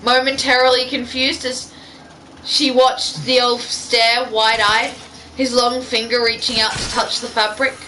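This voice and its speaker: Bright-voiced woman reading fan fiction aloud. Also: a little high, flat delivery, measured pace, slight background noise, poor audio. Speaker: reader. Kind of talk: amateur fan fiction reading with casual banter.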